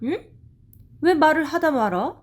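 A woman voicing a busy, pushy working mother in an audio drama, talking in a brisk, nagging way. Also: high voice, lively intonation, voice pushed up.

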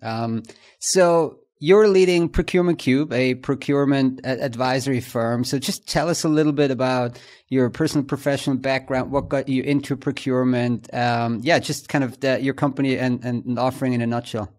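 A man in his thirties hosting a podcast, relaxed and joking, asking brisk questions. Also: mid-range voice. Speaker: podcast host.

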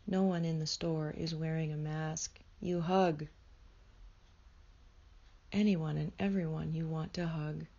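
A woman leading a guided meditation in a slow, soothing way, often dropping into soft whispers. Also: low voice, fairly even intonation, highs cut off.

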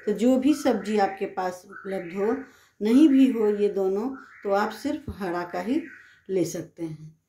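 A woman's voice: medium pitch, expressive, unhurried, dark tone, slight background noise. A woman hosting a home cooking channel, explaining each step plainly in her kitchen. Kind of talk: home cooking demonstration voice-over.

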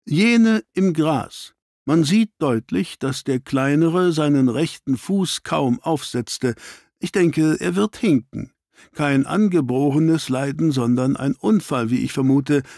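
A man reading an audiobook, voicing an analytical, ironic gentleman detective with precise, clipped statements. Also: fairly high pitch, slow pace, vocal fry, no room sound, studio quality, pitched above the performer's own voice.

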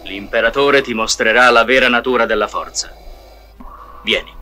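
An actor playing a stern, commanding dark lord and father in an audio storybook. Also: medium pitch, moderate pace, soft background music. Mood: stern menace.

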